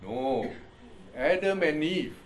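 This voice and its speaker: A male pastor with an Indian accent, preaching with a high, very animated voice that swoops up and down. He reads verses slowly and keeps asking the congregation whether they follow him. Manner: slow scripture reading, constant "you see?" check-ins, chuckles. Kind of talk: sermon, Bible teaching.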